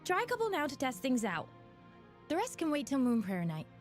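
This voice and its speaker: A young acolyte speaks in a high, swooping voice over clear music. She is bouncy, spirited and playfully exasperated, like a fantasy game character. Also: huge pitch swings, brisk. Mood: easygoing. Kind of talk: video game voice acting.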